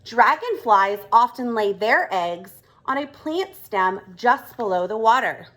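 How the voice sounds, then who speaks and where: A woman reading a children's storybook aloud like a kindergarten teacher, expressive and deliberate. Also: on the high side.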